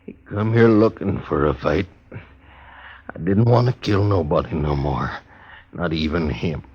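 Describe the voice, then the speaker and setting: A gunshot frontier outlaw at the end of his life in an old radio western. His deep voice is husky and weak, a resigned, bitter drawl with folksy grammar, on a dull, muffled old recording. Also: a little mellow, heavily acted. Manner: rustic drawl, folksy plain-spoken grammar. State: weak, wounded, dying.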